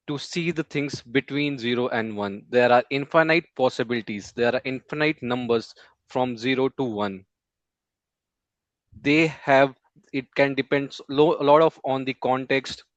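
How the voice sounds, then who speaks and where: A man, a Hindi speaker, giving an impromptu speech at a speaking club in a slow, measured way with pauses between phrases. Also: medium pitch, no echo. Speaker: table topics speaker. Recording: dull close mic, dry.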